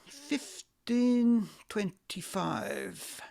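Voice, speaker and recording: Woman reading a creaky, slow, world-weary middle-aged man. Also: a little high, big pitch swings, warm tone, full character voice. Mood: weary, flat. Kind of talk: amateur stage play reading.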